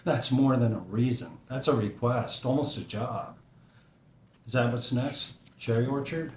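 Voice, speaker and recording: A patient, fatherly woodworker played by a man speaks in a gentle, reflective drama style. His voice is mid-pitched, with room echo and noticeable background noise. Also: unhurried, natural voice. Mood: reminiscing, curious. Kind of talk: dramatic screenplay table read.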